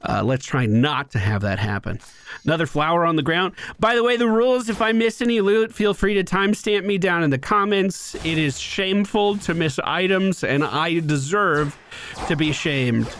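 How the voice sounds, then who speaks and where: A man's voice: fairly high pitch, moderate pace, a bit bright, a little hiss or hum. A man streaming a video game, giving casual play-by-play commentary on his own moves with asides to viewers. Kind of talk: live gameplay commentary, casual.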